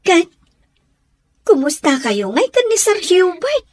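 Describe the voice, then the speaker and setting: A woman's voice: very high voice, big pitch swings, takes it slowly, no room sound, clean studio sound, exaggerated voice. A woman playing an eager, chatty young best friend in an Ilocano radio drama, with an Ilocano accent. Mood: teasing.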